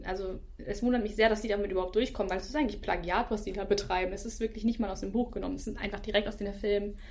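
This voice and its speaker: A woman's voice: mid-range voice, darkish tone. A woman co-hosting a casual review chat, voicing firm opinions with frequent "ähm" fillers.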